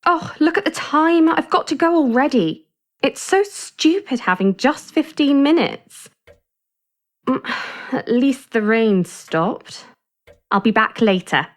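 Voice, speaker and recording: An actress in an audio drama playing a kindly, brisk female carer, practical and gently firm. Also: high voice, big pitch swings, full character voice. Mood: kindly, hurried. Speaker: actor.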